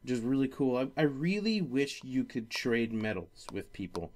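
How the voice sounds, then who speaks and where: A man hosting a casual gaming podcast, talking in a rambling, halting way that often circles back on itself. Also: medium pitch, sing-song, darkish tone. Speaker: podcast host.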